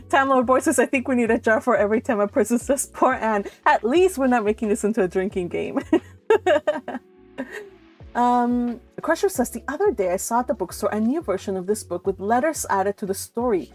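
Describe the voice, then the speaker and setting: A woman's voice: a little high, expressive, normal speed, faint music behind. A woman hosting a read-along livestream, chatting casually and reading out viewer comments in a gushing, giggly, rambling way. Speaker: livestream host of a book read-along channel.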